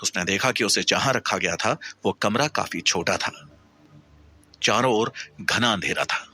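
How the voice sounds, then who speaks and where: A man's voice: fairly low pitch, a little slow, bright, forceful tone, breathy edge. A man narrating a scripted horror story in a theatrical, suspenseful way.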